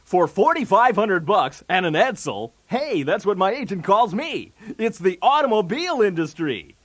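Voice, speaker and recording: High-pitched, swooping game show host. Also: male voice, big pitch swings, normal speed. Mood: showy, wisecracking. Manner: showy, jokey, with punchy delivery of gags. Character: brash wisecracking male TV game show host. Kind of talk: 1980s game show patter.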